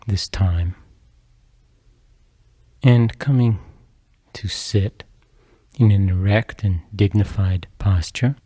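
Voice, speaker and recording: Very mellow, slow-paced male meditation guide. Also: lowish voice, slightly rough, no echo. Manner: unhurried, measured phrases with long pauses.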